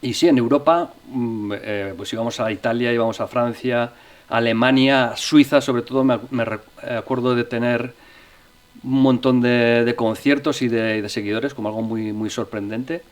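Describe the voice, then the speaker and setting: A middle-aged man from Navarre, a musician and interview guest, recounting his story in a relaxed way with frequent restarts and fillers. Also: medium pitch, normal speed. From Navarre, Spain. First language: Spanish.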